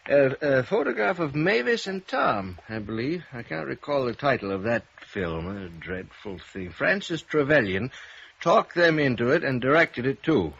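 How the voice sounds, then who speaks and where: An actor playing an elderly, genteel former film director who speaks hesitantly and rambles, with a husky, creaky voice, in a vintage radio drama. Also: male voice, mid-range voice, very animated, normal speed, a bit bright, exaggerated voice. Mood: hesitant, reminiscing. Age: older. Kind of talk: 1950s radio drama acting. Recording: dull vintage radio recording.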